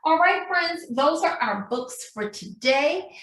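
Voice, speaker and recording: A woman with a high voice speaks as a preschool teacher, reading aloud and guiding small children. She takes her time and poses questions in a sing-song manner. The sound is roomy and echoey. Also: lively intonation, slow pace, fairly bright tone. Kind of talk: children's read-aloud and craft lesson.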